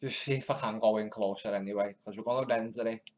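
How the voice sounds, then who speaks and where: A man's voice: lowish voice, steady tone, fast, a little hiss or hum. A male stream host giving live, mocking commentary, laughing, swearing and muttering asides as he goes. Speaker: channel host.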